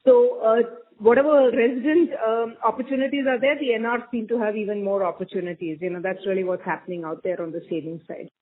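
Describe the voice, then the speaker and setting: A woman's voice: on the high side, fairly even intonation, fast talker, hardly any pauses. Through a narrow phone line comes a woman. She gives unscripted, explanatory answers as a senior bank executive on an earnings call, qualifying her points as she goes. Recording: thin phone conference line.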